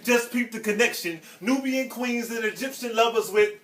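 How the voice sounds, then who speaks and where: A man's voice: very high voice, normal speed, a bit bright, slight background noise. A young man reciting spoken word poetry in a rhythmic, emphatic way, heard from a distance in a roomy space. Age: young adult.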